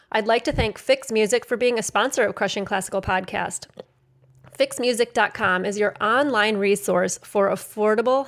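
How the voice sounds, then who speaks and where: Woman podcast host, mid-pitch, friendly and upbeat, smooth on the ad reads. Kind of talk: podcast interview and ad read.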